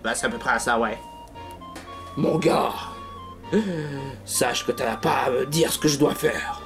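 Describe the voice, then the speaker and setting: A performer voicing a gruff, menacing, bad-tempered male pig villain in an amateur cartoon, foul-mouthed and threatening. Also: medium pitch, a little slow, over music. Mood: threatening, smug.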